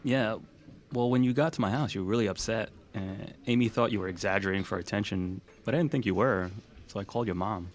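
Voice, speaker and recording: A man's voice: fairly low pitch, fast, close to an everyday voice. A man playing an easygoing, plain-spoken young guy in a naturalistic audio drama, talking casually. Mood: earnest. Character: easygoing young man confiding past events.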